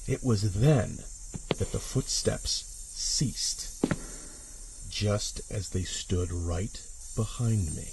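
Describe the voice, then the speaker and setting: Husky low-voiced man narrating horror. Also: rough recording. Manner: measured, suspenseful delivery with hushed drops and intense swells. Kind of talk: dramatic first-person horror narration.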